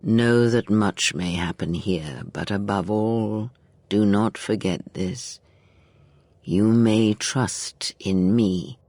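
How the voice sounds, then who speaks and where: An older woman playing a weary, cryptic and manipulative mentor in a video game, speaking in slow, solemn measured phrases. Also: a bass voice, darkish tone, dry recording, full character voice. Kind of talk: video game dramatic acting.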